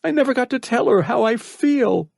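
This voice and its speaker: A man voicing a frightened teenage boy trapped in a cage, high and tearful with a cracking voice. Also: expressive, moderate pace, darkish tone, no echo, studio quality.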